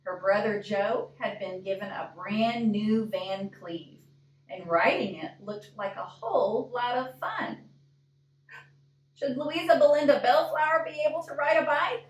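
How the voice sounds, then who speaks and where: A woman reading a picture book aloud in an expressive storytime style, deliberate and often putting questions to young listeners. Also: on the high side, slight background noise. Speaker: librarian reading a storybook to children. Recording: echoey room, distant microphone.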